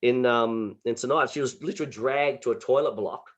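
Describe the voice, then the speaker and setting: Animated Australian man telling stories. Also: medium pitch, brisk, muffled recording. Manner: animated, lots of sound effects and restarts. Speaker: martial arts instructor. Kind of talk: casual interview storytelling.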